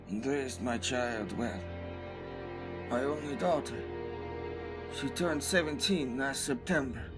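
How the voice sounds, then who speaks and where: A humble, sorrowful middle-aged father , acted by a man for an anime dub. He speaks slowly and formally, halting as he goes, in a medium-pitched voice. Music plays clearly underneath. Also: natural voice. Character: grieving, humble middle-aged flower shop owner, a father. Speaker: voice actor in a fan dub. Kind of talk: anime dub acting, dramatic monologue.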